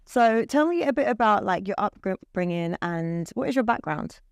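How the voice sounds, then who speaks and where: A British woman hosting a lighthearted interview, chatty and quick to giggle. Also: mid-range voice, animated, no room sound, clean studio sound. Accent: British English. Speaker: interview host.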